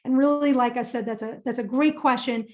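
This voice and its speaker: A woman's voice: fairly high pitch, steady tone, brisk. A woman presenting a career webinar and answering audience questions in an upbeat, emphatic way, often repeating phrases for stress.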